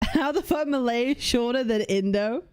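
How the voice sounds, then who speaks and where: A young woman hosting a casual livestream, reading chat and lists aloud, blunt and swearing freely as she thinks out loud. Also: fairly high pitch, normal speed, clean tone. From United Kingdom. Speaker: livestream host.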